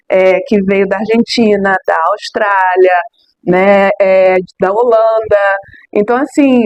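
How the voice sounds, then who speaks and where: Expressive woman tour guide. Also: fairly high pitch, creaky, dry recording. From Rio de Janeiro, Brazil. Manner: expressive, emphatic, drawn-out words, many tag questions. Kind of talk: animated interview answers.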